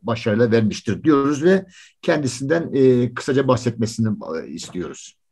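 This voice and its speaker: A man hosts a live interview in a conversational way. His voice sits at a middle pitch and has a husky, slightly raspy grain. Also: animated, a little fast, darkish tone. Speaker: programme host.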